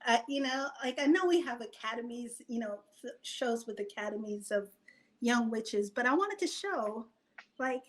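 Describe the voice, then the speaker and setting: Female, high-pitched voice. Writer as interview guest, casual and animated, thinking aloud. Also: normal speed.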